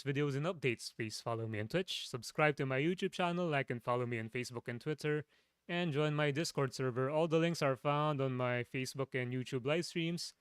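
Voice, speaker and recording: A man from the Philippines, a streamer with a low voice, chatting casually from his home setup, rambling and restarting his sentences. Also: clean tone, dry recording. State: voice tiring.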